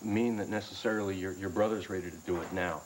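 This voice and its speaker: An actor playing an easygoing young boyfriend on a 1990s daytime soap who talks calmly and reassuringly. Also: male voice, sits low, fast talker, close to an everyday voice. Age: young adult. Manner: calm, reassuring, some restarts. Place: indoor studio set. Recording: noisy soap broadcast recording.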